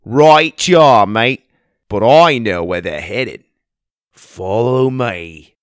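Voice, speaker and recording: A man voicing a rugged outback bushman with a put-on Australian accent, hearty and loud. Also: medium pitch, expressive, slow pace, clear, resonant tone, dry recording, studio quality.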